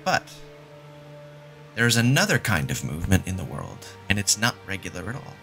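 A man's voice: deep voice, very animated, normal speed, creaky, sharp tone, soft background music, heavily acted. A man voicing a confident, sardonic male mathematician who explains things patiently and emphatically in a fan audio drama. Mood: lecturing. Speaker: fan voice actor.

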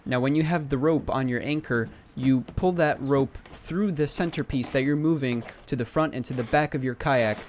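A man's voice: mid-range voice, normal speed, hardly any pauses. Young guy in a kayak explaining his diy anchor, um-ing a lot, mid voice, wind and water noise behind. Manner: casual, explanatory, with fillers and restarts. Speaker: DIY video maker. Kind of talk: instructional voice-over and on-water demo. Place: outdoors on a lake in a kayak.

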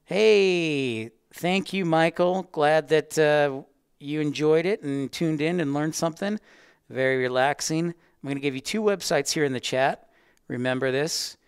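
A man's voice: a little high, measured pace, gravelly, clear, resonant tone, dry recording, clean studio sound. A man hosting a casual educational livestream, chatty and rambling as he talks directly to his viewers. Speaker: livestream host, aviation educator.

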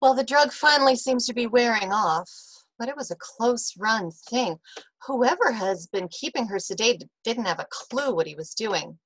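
A woman playing a kindly, frank veteran nurse in a period radio mystery, reassuring and measured. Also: a little high, expressive, fairly bright tone, breathy edge, no echo, poor audio. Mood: frank, puzzled. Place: indoor video call.

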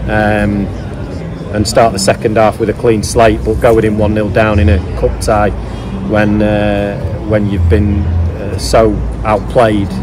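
A man's voice: lowish voice, noisy background. A middle-aged man, a football manager, giving a candid post-match interview outdoors at a stadium, thoughtful with many fillers and restarts.

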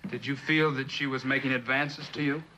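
An actor playing a probing defense lawyer, asking measured, formal questions in a television courtroom drama. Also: male voice, medium pitch, fairly even intonation, muffled recording, background noise, natural voice.

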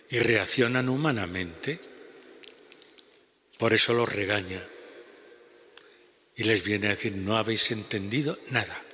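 An older man with a Spanish accent preaching a homily at a lectern, slow and measured with pauses. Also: medium pitch, pushed and bright. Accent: Spanish (Spain). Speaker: priest. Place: large church basilica. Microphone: lectern gooseneck mic. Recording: long church reverb.

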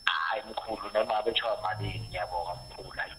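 A man's voice: low voice, steady tone, quick pace, pushed and bright. A South African man talking casually over the telephone. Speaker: musician taking a phone call. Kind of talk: casual phone conversation. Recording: noisy, humming phone line.

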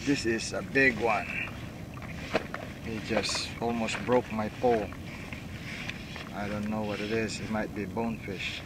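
Excitable middle-aged man whooping on a kayak. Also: lowish voice, measured pace, pauses often. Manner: excited whoops and laughter, short exclamations. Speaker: kayak angler filming himself. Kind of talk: live reactions while fishing. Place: kayak on open bay water outdoors. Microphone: action camera mounted on kayak. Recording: wind and water noise.

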